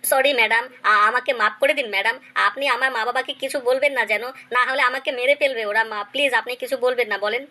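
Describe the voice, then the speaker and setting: A performer voicing a cheeky schoolboy pupil who makes excuses in a deferential, pleading way in an animated comedy skit. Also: mid-range voice, fast talker, hardly any pauses, lightly acted.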